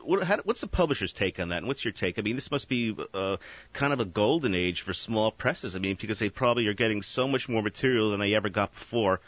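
A man's voice: on the low side, lively intonation, fast, no echo, muffled recording. A man hosting a radio interview, rambling and stumbling over his words as he asks questions. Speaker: radio host.

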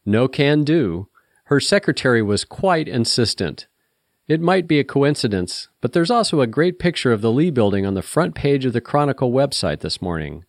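Man voicing playful teasing female secretary, raised pitch. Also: big pitch swings, moderate pace, dry recording, studio quality.

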